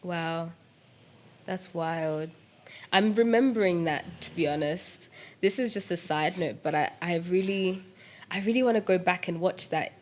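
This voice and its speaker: A young woman musician chatting in an interview about making her music, lively and laughing, with lots of "like" and "um". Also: lowish voice, unhurried. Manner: animated, laughing, fillers like "like" and "um". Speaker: musician and producer guest. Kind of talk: casual interview chat.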